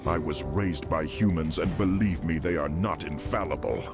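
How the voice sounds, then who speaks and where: A man's voice: a bass voice, moderate pace. A man voicing a proud, idealistic young orc shaman in fantasy game dialogue. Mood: defiant. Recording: loud music under the voice. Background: loud music.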